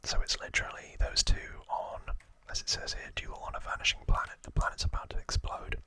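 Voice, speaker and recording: A man's voice: fairly quick, pushed and bright. A man whispering an informal ASMR recap into a close microphone, hesitant and rambling as he corrects himself. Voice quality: whispered, unvoiced. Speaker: ASMR video host.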